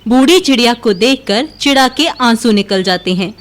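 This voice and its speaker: A woman's voice: a little high, lively intonation, normal speed. A woman narrator reading a scripted children's moral story.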